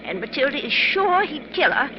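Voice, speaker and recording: An actress playing a sensible, worried lady sleuth with an earnest, brisk, fretful manner in 1950s radio mystery style. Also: high voice, big pitch swings. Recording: muffled, noisy 1950s radio recording.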